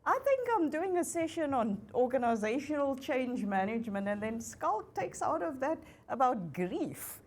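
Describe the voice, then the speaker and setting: A woman steers a fireside chat, quick and affirming in her asides. Her voice sits fairly high. A faint hiss of noise runs underneath. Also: sing-song, a little mellow. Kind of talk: conversational panel moderation.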